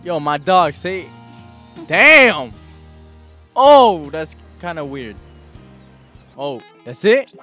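A man hosts a gaming video in a high, very animated voice. He talks in slang and swearing, repeats phrases over and over and is prone to yelling. Game music plays clearly behind him. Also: drawn-out words, long pauses. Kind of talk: excitable, profane let's-play commentary. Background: video game music and sound effects.

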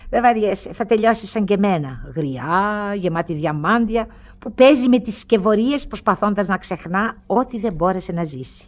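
An actress playing a rich, bored and lonely old aunt in a radio play, wry and commanding. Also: female voice, medium pitch, fairly bright tone, close to an everyday voice. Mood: bitter, wistful. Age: older. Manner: world-weary, grand. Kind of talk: theatrical radio play acting.

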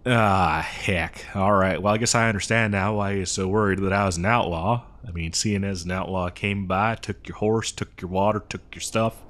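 A man's voice: low-pitched, steady tone, fairly bright tone. A man playing a dim, good-natured cowboy mail rider in a comedy roleplay, rambling hesitantly in an American cowboy drawl. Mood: apologetic, surprised. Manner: rambling, hesitant, folksy, slow-witted. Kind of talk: improvised comedy audio roleplay.

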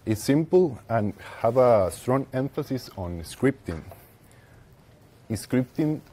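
A young man with a Costa Rican Spanish accent giving a technical presentation as a conference speaker, haltingly, with pauses mid-phrase. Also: medium pitch, lively intonation, mellow, a little hiss or hum. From Costa Rica. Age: young adult. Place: lecture room with seated audience, indoors.

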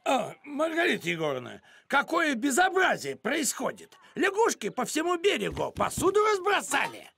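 A man voicing a fussy, boastful middle-aged village postman in an animated cartoon, speaking theatrically. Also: very high voice, moderate pace, gravelly, few pauses, full character voice. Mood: indignant. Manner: theatrical, exclaiming.

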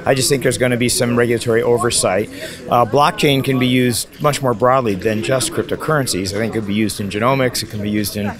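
A man's voice: mid-range voice, fairly even intonation, fast. An older man giving confident opinions in a street interview on a snowy night street, into a handheld mic. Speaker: business executive. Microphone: handheld mic held by interviewer. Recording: crowd noise on street.

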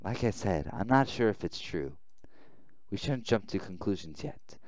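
A man reading a visual novel aloud as a blunt, defensive young woman in a militia, a little halting. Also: lowish voice, animated, darkish tone, dry recording, lightly acted. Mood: guarded.

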